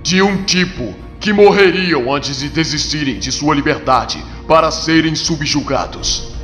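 Man playing a slow, solemn warrior god. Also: on the high side, animated, fairly bright tone. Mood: rousing, solemn. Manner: solemn, formal, archaic phrasing. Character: proud, defiant warrior god hero. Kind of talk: dramatic motion comic dub. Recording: long reverb with music underneath.

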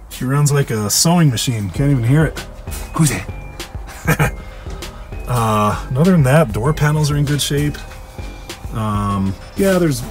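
American man with loud music behind him. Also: mid-range voice. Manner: colloquial, opinionated. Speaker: car restorer presenting a find. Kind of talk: casual walkaround vlog.

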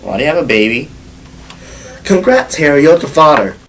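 Young teen boy, lively pitch, hammy skit talk, cheap camera recording with faint hiss. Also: fairly quick. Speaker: younger self in an old vlog clip. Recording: old low-quality camera clip with faint noise.

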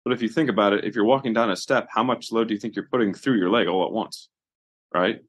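A man's voice: lowish voice, fast talker, breathy edge. A man co-hosting a podcast, talking in a loose, conversational way with strong opinions. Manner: conversational, animated opinions, many fillers. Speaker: podcast co-host, physical therapist. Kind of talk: casual podcast discussion.

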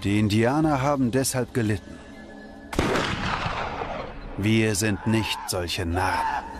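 A proud, aggrieved leader of his people in a documentary drama. His voice is low and declamatory, with loud music behind it. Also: lively intonation, takes it slowly.

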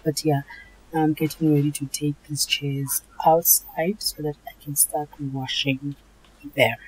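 A South African woman narrating her cleaning vlog in a relaxed, chatty voice-over, often repeating herself. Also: deep voice, a little slow, slight background noise, poor audio. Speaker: home cleaning vlogger.

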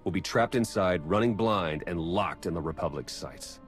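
A dry, sardonic male agent from a video game, a man voicing him. His voice sits low, with a music score behind. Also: moderate pace, close to an everyday voice. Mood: wry. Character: wry male spy, sardonic.

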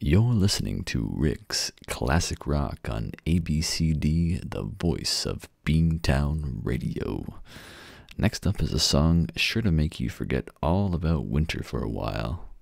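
A man playing a wry, folksy classic rock radio announcer with measured DJ patter. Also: a bass voice, sing-song, gravelly, dry recording, clean studio sound, full character voice. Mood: wry, easygoing.

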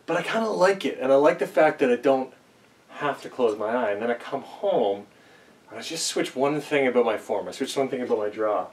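A man's voice: mid-range voice, lively intonation, fairly quick. Young guy rambling to the camera, lots of ums, kinda far from the mic in a basement. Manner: rambling, self-deprecating, many fillers. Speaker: archery vlogger. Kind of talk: casual musing vlog. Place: small basement archery range, indoors. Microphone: camera mic at a few meters while he moves and shoots. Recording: distant camera mic with faint noise.

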